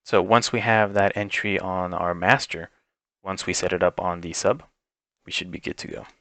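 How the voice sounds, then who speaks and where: A man giving an informal, unscripted step-by-step software walkthrough as a tech instructor, explaining each setting as he goes. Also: low voice, moderate pace.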